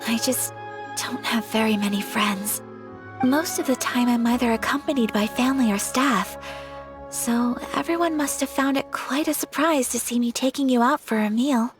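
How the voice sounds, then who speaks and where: Woman as a gentle refined young noblewoman. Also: on the high side, normal speed, close to an everyday voice. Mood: wistful. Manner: formal, polite and soft-spoken. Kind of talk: game cutscene voice acting. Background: game music.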